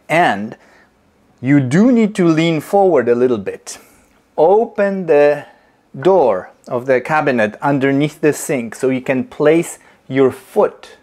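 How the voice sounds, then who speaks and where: Slow, deliberate man explaining in a kitchen. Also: medium pitch, darkish tone. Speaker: movement teacher. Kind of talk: instructional demonstration. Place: home kitchen, indoors.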